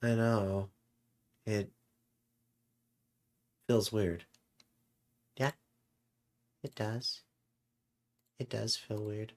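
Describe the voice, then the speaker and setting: Very slow-talking man, casual and reflective. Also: fairly low pitch, fairly even intonation. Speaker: show host.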